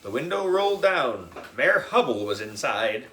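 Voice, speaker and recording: A man reading a children's book as a young boy narrator, expressive, dramatic and playful. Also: medium pitch, normal speed, reverberant. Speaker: audiobook reader.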